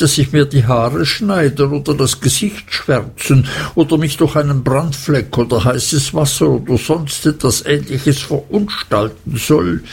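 A man's voice: fairly high pitch, creaky, a little mellow, breathy edge, heavily acted. A man voicing a fretful young widowed mother, plaintive and wounded, in an audiobook reading.

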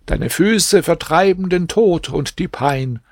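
Male reader doing a wheedling old crone, lifted pitch, slow and dry. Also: animated, no room sound, heavily acted. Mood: pleading. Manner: coaxing, pleading storyteller's delivery. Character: scheming old woman go-between, coaxing. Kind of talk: audiobook reading.

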